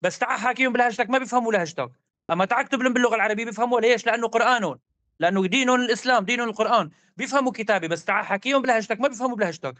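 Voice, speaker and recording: A man's voice: very high voice, a bit bright, no room sound. A man arguing hard in a religious debate, insistent and repetitive. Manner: rapid, insistent, argumentative, repeats demands.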